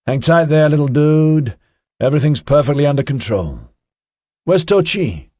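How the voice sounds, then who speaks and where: Man doing cocky slangy young guy, raised pitch, husky edge, loud swaggering talk. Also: big pitch swings, normal speed, dry recording. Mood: reassuring. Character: brash slangy laid-back young man.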